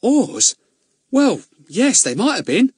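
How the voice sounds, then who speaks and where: Man doing a shrill excitable cockney boy. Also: slow, slightly rough, no echo, studio quality, exaggerated voice. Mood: eager. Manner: exclaiming, breathless enthusiasm.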